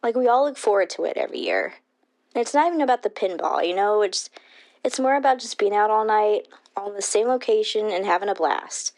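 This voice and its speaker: A woman's voice: medium pitch, expressive, brisk, a little mellow, close to an everyday voice, rough recording. An actress playing a sincere, chatty teenage girl, an arcade regular, talking in slangy interview style. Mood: sincere, wistful. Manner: slangy, peppered with "like" and "you know". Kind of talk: interview in an audio drama.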